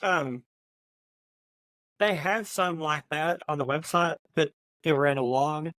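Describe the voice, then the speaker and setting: Halting, higher-pitched male podcast co-host. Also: pushed and bright, dry recording, clean studio sound. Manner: halting, hesitant delivery with repeated words. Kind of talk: casual book discussion chat.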